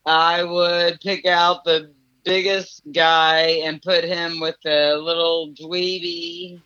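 Slow, bright-voiced woman on a dull phone call. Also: on the low side, level tone, clean tone, no echo. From United States. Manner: salty, informal, swearing. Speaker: podcast guest, former military drill instructor. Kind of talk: casual phone chat.

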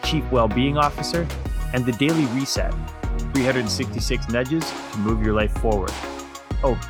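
A man hosting a workplace podcast, reading a polished intro and then asking interview questions in an upbeat way. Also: mid-range voice. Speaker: podcast host.

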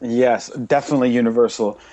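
A man's voice: medium pitch, fairly even intonation, fairly quick, dark tone. A man hosting a podcast, talking casually in a blunt, emphatic rant that piles up repeated points. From Britain. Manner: emphatic, repetitive listing, blunt. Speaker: podcast host.

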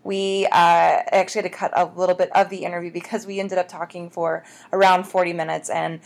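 A woman's voice: on the low side, flat delivery, brisk, gravelly. Gushing, enthusiastic American woman podcaster. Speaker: podcast host. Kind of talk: casual chatty podcast introduction.

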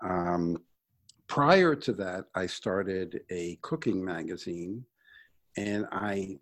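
A middle-aged or older American man from Brooklyn telling his own story in a conversational, reflective interview style with hesitant pauses. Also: low voice, expressive. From Brooklyn, New York, United States. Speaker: interview guest, sustainability entrepreneur.

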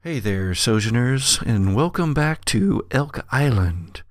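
A man's voice: medium pitch, lively intonation, slow, dry recording, clean studio sound. A man hosting as game master, improvising tabletop game narration and talking conversationally to his players. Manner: conversational, addresses players directly. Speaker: game master and podcast host.

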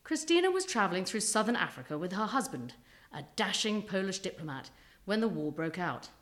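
A woman's voice: medium pitch, very animated, fairly quick, a bit bright. A woman narrating a scripted historical story as a storyteller, with a dramatic, suspenseful delivery.

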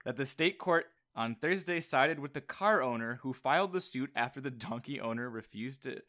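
A man's voice: medium pitch, lively intonation, normal speed, pushed and bright. A man co-hosting a comedy podcast, reading a news story aloud and cutting in with his own remarks. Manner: reads news articles aloud with asides. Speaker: podcast co-host. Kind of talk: casual comedy chat.

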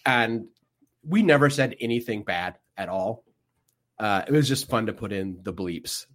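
A man's voice: lowish voice, animated, fairly bright tone. A man hosting a podcast in casual, joking banter from the back room of a game store. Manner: chatty, jokey, quick asides. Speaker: podcast host.

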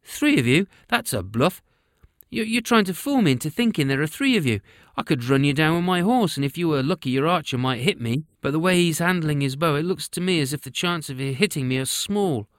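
A man's voice: a little high, lively intonation, brisk, no room sound, studio quality, pitched above the performer's own voice, natural voice. A man voicing a bold, stubborn young male city guard who gives firm commands in a storybook reading. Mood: defiant.

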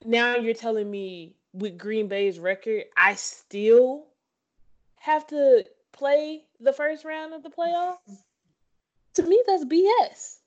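A woman's voice: fairly high pitch, slow, highs cut off. A woman co-host in casual sports chat, opinionated and repeating herself for emphasis.

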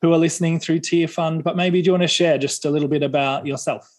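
Higher-pitched Australian man hosting. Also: fairly even intonation, fast. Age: 30s. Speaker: podcast host, interviewer. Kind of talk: casual interview chat.

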